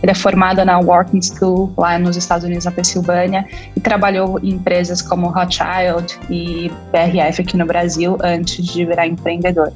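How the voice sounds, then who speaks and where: A woman co-hosts a podcast and introduces the guest in a steady, even way. Her voice sits somewhat low and stays flat in pitch. Soft music can be heard faintly underneath. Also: normal speed. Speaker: podcast co-host.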